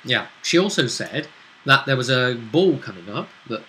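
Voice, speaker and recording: A man's voice: mid-range voice, sing-song, moderate pace, bright, forceful tone, slight background noise, rough recording. A man hosting as game master, chatting casually as he recaps a tabletop roleplay campaign. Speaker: game master and show host.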